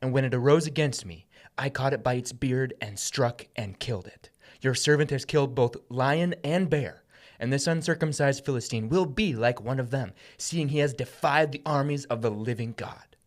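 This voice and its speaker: A man's voice: medium pitch, normal speed, lightly acted. Guy doing young shepherd hero david type, earnest n defiant, bible story drama reading.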